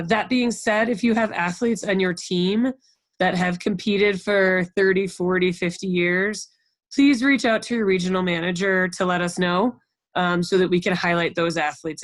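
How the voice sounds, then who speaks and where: A woman's voice: lowish voice, no room sound. An American woman leading a conference call as a program coordinator, giving an informal, encouraging briefing and often checking in with the group. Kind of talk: informal instructional briefing to coaches.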